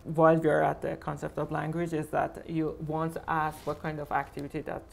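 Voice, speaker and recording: A man whose first language is Farsi speaks earnestly on a theatre panel, pausing to find his words. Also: on the high side, completely flat delivery, gravelly, slight background noise. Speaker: panel guest, arts curator. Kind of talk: panel talk on stage. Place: small theatre stage with audience.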